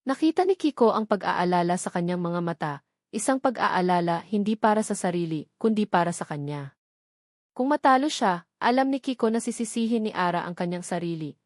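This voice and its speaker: A woman with a Filipino accent narrating a scripted love story in a measured, sentimental way, in short dramatic phrases. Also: mid-range voice, darkish tone, dry recording, clean studio sound.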